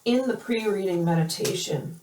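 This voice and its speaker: A woman hoarse from allergies giving a weekly tarot reading, hesitant with many fillers and restarts. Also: mid-range voice, moderate pace, a little mellow, recorded from a distance, slight background noise. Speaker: tarot reader. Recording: roomy, from off the mic.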